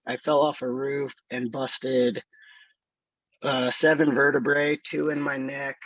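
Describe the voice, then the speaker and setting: Slow raspy-voiced man telling his story. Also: mid-range voice, fairly bright tone, no echo, dull audio. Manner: slow, halting storytelling with many fillers. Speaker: student.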